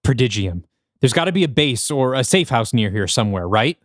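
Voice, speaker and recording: An actor playing a terse, wry male adventurer in an audio drama, with a deadpan, clipped delivery. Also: mid-range voice, a little fast, no echo, clean studio sound, lightly acted. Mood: urgent.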